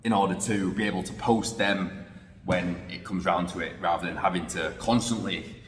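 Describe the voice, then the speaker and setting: Male vlogger, deep and reflective, casual phone recording in a roomy space with faint background noise. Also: fairly even intonation, normal speed. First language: English. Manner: reflective, thinking aloud with restarts. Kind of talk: casual vlog talking to camera. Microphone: phone camera. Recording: echoey room with long reverb.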